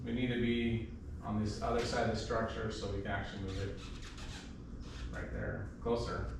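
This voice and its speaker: A man's voice: lowish voice, little pitch movement, normal speed, gravelly. A man casually walking through a hands-on project as a DIY host, informal and given to jokey asides. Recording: echoey, distant mic, faint background noise.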